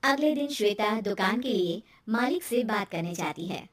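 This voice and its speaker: A woman's voice: medium pitch, lively intonation, moderate pace, echoey room, distant mic. A woman reading scripted story narration.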